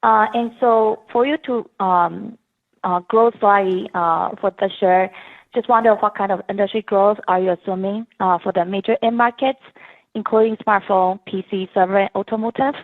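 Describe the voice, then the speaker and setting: Female analyst, mid pitch, thin telephone sound, polite and hesitant questioning on an earnings call. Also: steady tone, moderate pace. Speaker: financial analyst. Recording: narrow phone-line sound.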